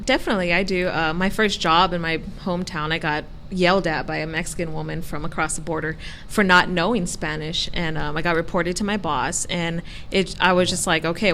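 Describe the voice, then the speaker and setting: A woman's voice: lowish voice, sharp tone. A young woman from Brownsville, Texas, on a student panel, speaking directly and matter-of-factly. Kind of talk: casual panel discussion. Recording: faint background noise.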